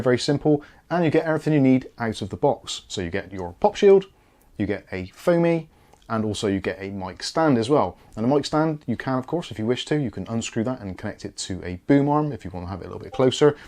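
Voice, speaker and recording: British guy doing a casual unboxing review, talks quick, chatty and easygoing, mid pitch. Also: darkish tone. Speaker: product reviewer and presenter.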